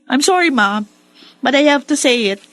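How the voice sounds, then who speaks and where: An actress playing an earnest, tender young daughter with wounded pride in a radio drama. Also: female voice, high-pitched, animated, moderate pace, fairly bright tone, dry recording. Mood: hurt, proud. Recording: music behind the voice.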